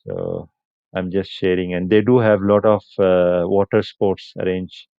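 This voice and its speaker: Slow-talking man. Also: low-pitched, animated, creaky, clean tone, no echo, highs cut off. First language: Punjabi. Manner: unhurried, chatty, answers comments, laughs often. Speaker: livestream host. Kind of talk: casual live stream chat with viewers.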